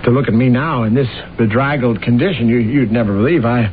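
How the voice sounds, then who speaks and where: An actor playing a vain, self-deluded assassin in an old radio drama, speaking formally and hesitantly. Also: male voice, mid-range voice, a little fast, natural voice. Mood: wistful, rambling. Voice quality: hesitant, stammering. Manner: formal, self-important, courtly. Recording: muffled, noisy old radio broadcast recording.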